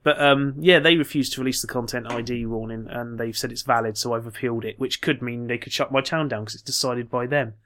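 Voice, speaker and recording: A man's voice: mid-range voice, steady tone, fast, few pauses, fairly bright tone. A man chatting casually as a gaming podcast co-host, with a dry, grumbling, sarcastic streak.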